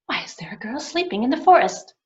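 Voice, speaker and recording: A woman voicing a gallant, dreamy young prince who speaks quickly and wonderingly. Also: sits high, big pitch swings, a little mellow, voice pushed up. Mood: smitten. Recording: dull lo-fi recording.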